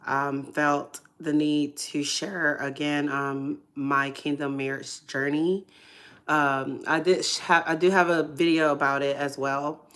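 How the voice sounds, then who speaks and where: Hoarse, congested woman, low-voiced, soft and hesitant, filler-heavy testimony host. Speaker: ministry livestream host. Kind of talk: casual testimony livestream.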